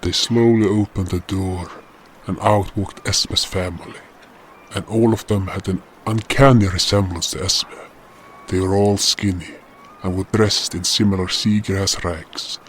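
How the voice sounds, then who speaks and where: Deep-voiced, solemn guardian hero acted by a man. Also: fairly even intonation, a little slow, slight background noise. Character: solemn powerful male guardian hero recounting his journey. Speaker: narrator and lead actor. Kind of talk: scripted fantasy audio drama narration. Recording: music behind the voice. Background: music.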